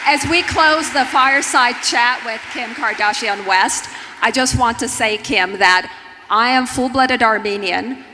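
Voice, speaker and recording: A woman's voice: a little high, unhurried, sharp tone. A woman from Istanbul, Turkey, moderating a live panel on a conference stage. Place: large conference hall stage. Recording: echoey large hall, background noise.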